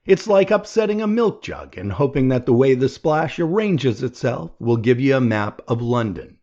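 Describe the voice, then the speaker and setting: A man reading a nonfiction audiobook aloud in a measured, deliberate way. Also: mid-range voice, a little mellow, lo-fi sound. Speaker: audiobook reader.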